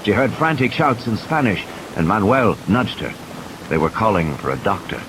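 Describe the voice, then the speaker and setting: A man's voice: on the low side, big pitch swings, moderate pace. A man narrating an audiobook play in a dramatic, scripted storytelling style. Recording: hissy, noisy background.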